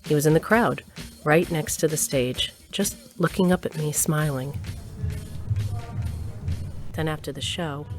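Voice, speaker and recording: Low-voiced, wry ex-rocker. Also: female voice, a little slow, long pauses, over music. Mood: wistful, confiding. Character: wry, wistful woman, ex-rocker recalling her youth. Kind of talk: first-person narration in audio drama.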